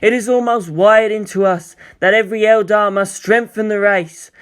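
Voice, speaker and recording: A man acts an alien elf guardian, pitched high and haughty. The storybook figure is possessive, imperious and scornful of mere humans. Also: fairly even intonation, normal speed, clean tone, close to an everyday voice. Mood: insistent. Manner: imperious, indignant.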